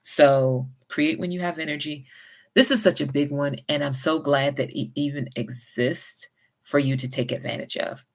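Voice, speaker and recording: A woman with a light Southern US accent, talking at an unhurried pace and giving friendly, encouraging advice to her viewers. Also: low-pitched, steady tone. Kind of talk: casual advice vlog.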